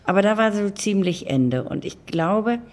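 A woman's voice: mid-range voice, lively intonation, moderate pace, a little mellow. An older woman, reminiscing conversationally as an interviewed producer, emphatic and repeating words for stress. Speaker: interviewee, audio drama producer.

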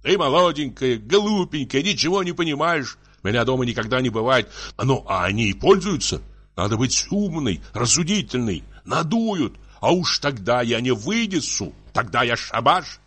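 A man's voice: mid-range voice, very animated, moderate pace, a bit bright, slightly rough, voice pushed up. A man voicing a tipsy, jealous, bullying husband who scolds in sputtering, tearful exclamations for a story. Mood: pleading, warning. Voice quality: muffled, tearful, raised voice.

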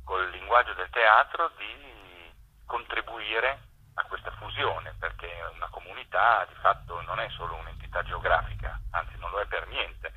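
A man, a theatre maker giving a radio interview by phone with emphatic, deliberate phrasing over a muffled line. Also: sits low, breathy edge.

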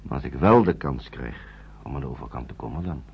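Very deep, husky earnest man. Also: expressive, a little fast, exaggerated voice. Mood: earnest, measured. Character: earnest, persuasive man protecting refugee children in wartime. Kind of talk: Dutch radio play acting. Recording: dull old radio play recording.

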